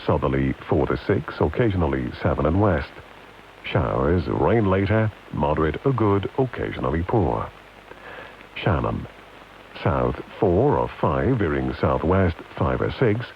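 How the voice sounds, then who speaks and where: A British man formally reading a scripted weather bulletin as a radio announcer, in measured, deliberate, list-like phrases, over long-wave radio. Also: rumbling low, big pitch swings, vocal fry. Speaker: radio continuity announcer reading shipping forecast. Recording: hissy, muffled long-wave radio broadcast.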